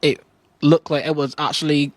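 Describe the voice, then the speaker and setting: A man's voice: on the high side, flat delivery, moderate pace, dry recording. A young man with a Barbadian accent, a singer guest on a morning show, telling his story casually and acting out his own reactions. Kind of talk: casual interview talk.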